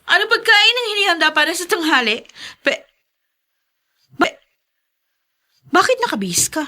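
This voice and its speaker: A woman's voice: extremely high pitch, sing-song, normal speed, pushed and bright, heavily acted. An actress playing a bossy, hot-tempered young woman of a wealthy household in a radio drama, with an imperious, exclaiming delivery. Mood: demanding, irritated. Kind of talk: Tagalog radio drama acting.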